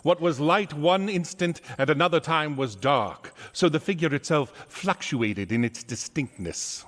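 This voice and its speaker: Reverberant male storyteller, slightly high. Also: expressive, unhurried, fairly bright tone. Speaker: narrator. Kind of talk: scripted storybook narration for radio drama.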